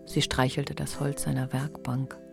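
Woman, narrator, deep female voice, scripted literary storytelling, music underneath. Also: little pitch movement, a little slow, vocal fry.

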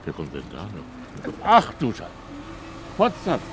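An actor playing an indignant, flustered village man in a radio play, blustering, halting and repeating himself. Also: fairly low pitch, wildly swooping pitch, noisy background, full character voice. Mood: awkward, defensive. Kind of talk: naturalistic radio play acting.

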